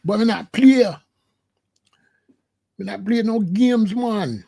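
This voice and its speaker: A swaggering, no-nonsense rasta bad man brags in a put-on Jamaican patois, as a man acts him out. The voice sits high with a gravelly edge. He piles up tough-guy boasts and repeated tags. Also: slow, dark tone, heavily acted. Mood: boastful. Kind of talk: comic impression.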